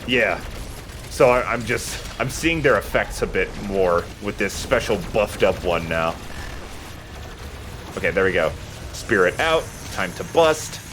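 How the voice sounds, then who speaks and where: A man's voice: on the low side, lively intonation, measured pace, a bit bright, a little hiss or hum. A man giving casual, explanatory commentary as he plays a video game. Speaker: let's play host.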